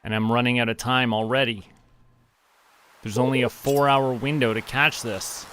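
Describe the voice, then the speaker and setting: A man's voice: mid-range voice, steady tone, normal speed, fairly bright tone, slight background noise. A man giving casual live game commentary, talking to himself and his viewers as a streamer. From Canada.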